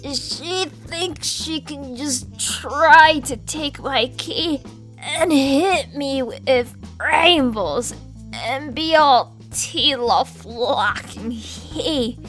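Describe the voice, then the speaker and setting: A woman's voice: high-pitched, sharp tone, exaggerated voice. A woman voicing a bossy, foul-tempered woman trapped as a little girl, ranting sarcastically with cutesy stand-in swears, in a comic fan dub. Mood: grumbling.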